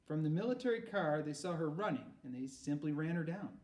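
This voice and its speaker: A man's voice: a little high, expressive, brisk, a little mellow, voice pushed up, lightly acted. A man voicing a solemn, protective storybook father, grave and patient in his explanations. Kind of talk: read-aloud storybook character. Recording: roomy echo, far from mic.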